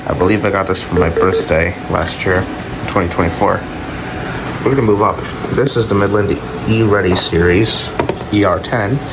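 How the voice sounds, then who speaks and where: A man giving a casual, unscripted show-and-tell as a video host, speaking in a plain, offhand, halting way. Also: low-pitched. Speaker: video host showing a collection. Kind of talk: casual unscripted product showcase. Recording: loud steady ambient hum and hiss.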